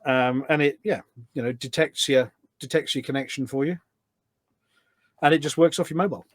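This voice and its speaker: A man speaks as an interview guest in a dry, close recording. His pitch is average and his delivery is keen and explanatory, walking through points step by step and often framing them as questions. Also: normal speed, no room sound. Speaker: podcast guest, voice pack developer. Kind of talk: enthusiastic explanatory interview chat.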